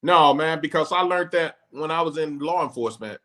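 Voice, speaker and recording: Relaxed, rambling man, fairly high voice. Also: a little fast. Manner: relaxed, rambling explainer with many restarts. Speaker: podcast host; former law enforcement officer. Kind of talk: casual chat.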